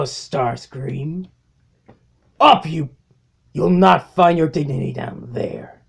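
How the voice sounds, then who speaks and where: A man's voice: a little high, gravelly, breathy edge, full character voice. A man voicing a domineering robot warlord in a cartoon-style comic dub, delivering theatrical, menacing monologues full of cold mockery. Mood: mocking. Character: domineering robot warlord, male, coldly mocking his underling. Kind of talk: amateur comic dub acting.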